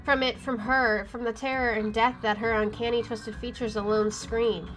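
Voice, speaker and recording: High-voiced woman narrating a horror game. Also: level tone, normal speed, fairly bright tone, low-quality recording. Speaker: gamer reading a visual novel aloud. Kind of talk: dramatic first-person narration of horror game text. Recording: faint game music behind the voice. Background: faint game music.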